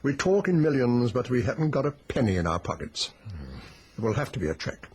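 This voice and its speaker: A man's voice: medium pitch, very animated, a little fast, husky. An actor playing a self-assured, wealthy company chairman in a radio drama, speaking with urbane authority. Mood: imperious.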